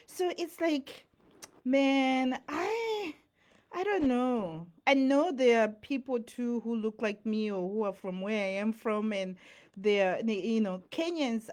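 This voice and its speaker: A woman's voice: on the high side, darkish tone. Chatty young woman from Zimbabwe. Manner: chatty, rambling, with many fillers and asides. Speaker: vlogger. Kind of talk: casual talking-head monologue.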